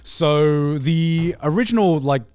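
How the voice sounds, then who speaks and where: Halting man in dry, casual podcast chat. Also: fairly high pitch, darkish tone, clear, resonant tone, dry recording. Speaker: podcast co-host.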